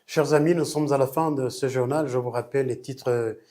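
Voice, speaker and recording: A man's voice: medium pitch, fairly even intonation, normal speed, dark tone. A man presenting a news bulletin, reading formally with a few hesitant fillers.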